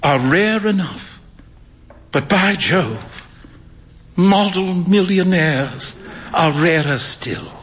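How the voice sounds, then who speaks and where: An actor playing a well-off painter who gently mocks his friend, in a live dramatic reading. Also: high voice, lively intonation, very slow, long pauses, a little hiss or hum, voice pushed up, exaggerated voice. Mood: amused. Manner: jovial, mocking. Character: teasing well-off male painter, amused and breezy. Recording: long stage reverb in a hall.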